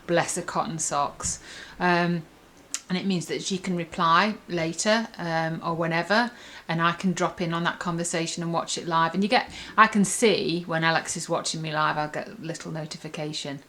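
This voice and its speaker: Chatty low-pitched woman reviewing. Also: steady tone, moderate pace, fairly bright tone. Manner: chatty, rambling, enthusiastic. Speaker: app reviewer. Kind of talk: casual chatty demonstration.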